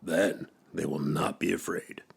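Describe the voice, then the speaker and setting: A gruff toad from a children's storybook, acted out by a man reading aloud. The voice is deep and husky, with slow, emphatic lines full of fretting impatience. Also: steady tone, full character voice. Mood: worried. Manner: blunt, emphatic, dramatic.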